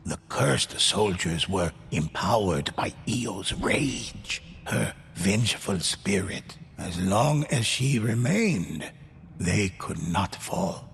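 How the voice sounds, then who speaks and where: A deep, gravelly legend-teller. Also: male voice, animated, heavily acted. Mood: solemn. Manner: slow, grave storytelling. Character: grave male storyteller of ghost legends. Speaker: narrator. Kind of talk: scripted game narration. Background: music.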